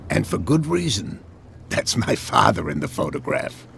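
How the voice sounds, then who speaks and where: Gravelly, deep-voiced old sea captain. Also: male voice, sing-song, moderate pace, fairly bright tone, a little hiss or hum, exaggerated voice. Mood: proud, solemn. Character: weathered harbor captain, old seafaring man, proud and superstitious. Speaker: last serving sea captain. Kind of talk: video game dialogue.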